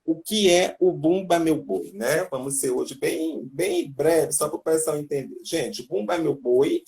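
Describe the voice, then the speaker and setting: Man, gravelly voice, fairly high pitch, rambling explainer. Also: lively intonation, a little slow, a little mellow. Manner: rambling, explanatory, many tag questions. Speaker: tourism host. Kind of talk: casual live-stream explanation.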